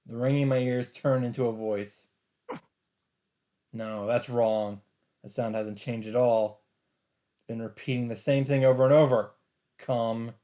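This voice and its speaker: A man's voice: mid-range voice, a little mellow. A man reading a visual novel aloud with dramatic delivery as a let's player, with faint game ambience behind him.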